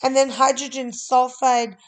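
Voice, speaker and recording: A woman teaching a recorded chemistry lesson in a relaxed, conversational way with frequent fillers. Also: sits high, a little slow.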